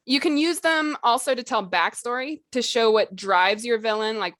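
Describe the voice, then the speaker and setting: A woman's voice: on the high side, moderate pace, a bit bright, dry recording, clean studio sound. A woman co-hosting a podcast, explaining writing tips in an informal, hedging way with lots of "like" and "you know". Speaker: podcast co-host. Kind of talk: casual instructional chat about fiction writing.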